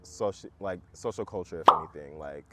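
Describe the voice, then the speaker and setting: A man's voice: deep voice, fairly quick, very noisy. A young man hosting a casual talk, easygoing, often murmuring agreement while his guest speaks. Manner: easygoing, frequent murmured backchannels. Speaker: podcast host. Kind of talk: casual conversation.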